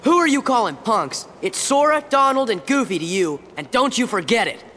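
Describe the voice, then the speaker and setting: A man's voice: extremely high pitch, sing-song, moderate pace, sharp tone, a little hiss or hum, exaggerated voice. An actor playing a plucky, cocky, hot-headed teenage boy hero in a video game, youthful, brash and earnest. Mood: indignant. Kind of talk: animated video game voice acting. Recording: music behind dialogue.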